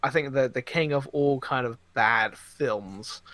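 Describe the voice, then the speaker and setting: A man in a casual podcast chat, talking in a jokey, slightly halting way, close on the microphone. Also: mid-range voice, fairly bright tone, dry recording. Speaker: podcast co-host. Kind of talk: casual chat about films and games.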